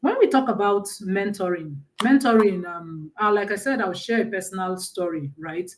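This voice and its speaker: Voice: mid-range voice, normal speed, far from the mic. A guest speaker from Nigeria with a Nigerian accent, telling personal stories in a conversational talk and often checking in with "right?".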